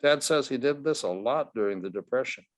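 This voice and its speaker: A man's voice: medium pitch, expressive, fairly quick, no room sound, close to an everyday voice. A man voicing an earnest, respectful eleven-year-old boy who speaks plainly and eagerly. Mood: eager, matter-of-fact.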